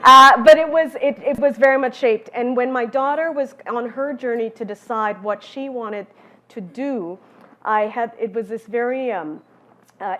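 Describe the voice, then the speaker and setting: A middle-aged woman from Trinidad speaks as a panelist, reflective and pausing often. The recording sounds slightly muffled, with faint background noise. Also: medium pitch, fairly bright tone. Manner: reflective, hesitant fillers. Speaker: architect, panelist. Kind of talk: personal storytelling on a panel.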